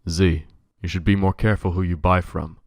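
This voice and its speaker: A man playing a brooding, protective playboy detective in an audio drama, speaking in a grave, measured way. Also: low voice, flat delivery, creaky, dry recording, studio quality. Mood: grave, insistent.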